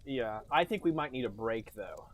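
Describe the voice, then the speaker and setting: A man's voice: mid-range voice, expressive, normal speed. A young adult man co-hosting a casual podcast, cracking jokes with mock-grandiose asides. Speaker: podcast co-host. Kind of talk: casual chat.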